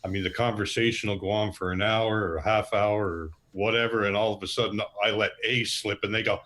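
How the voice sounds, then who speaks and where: A man's voice: on the low side, little pitch movement, a little fast, fairly bright tone. A man from Canada with an East Coast accent, telling a casual anecdote with self-deprecating humor.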